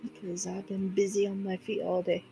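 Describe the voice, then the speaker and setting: A woman's voice: medium pitch, monotone, moderate pace, gravelly, dark tone. A woman chatting casually and offhand as a companion on a livestream, with a lightly teasing way of talking. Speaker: streamer's companion, playing games. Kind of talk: casual chat. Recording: music playing under the voice. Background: background music.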